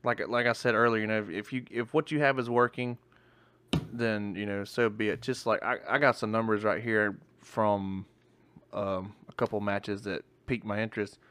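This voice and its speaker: A man's voice: fairly low pitch, fairly even intonation, normal speed, fairly bright tone, a little hiss or hum. A male podcast co-host in casual chat, rambling and swearing, often restarting his sentences, with hiccups.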